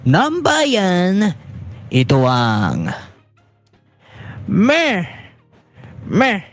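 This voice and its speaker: A man's voice: high voice, huge pitch swings, drawn-out words, dry recording, soft background music. A man in his twenties with a Filipino accent, hosting a talk show in a loose, rambling, informal way and mixing in English phrases. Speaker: internet radio talk show host. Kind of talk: casual rambling sign-off chat.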